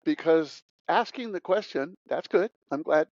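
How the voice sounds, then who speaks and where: Preachy emphatic guy, highish voice, repeats himself, muffled dry mic. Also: expressive, measured pace, darkish tone, no echo. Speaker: podcast guest. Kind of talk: interview talk. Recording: dry, dull lo-fi audio.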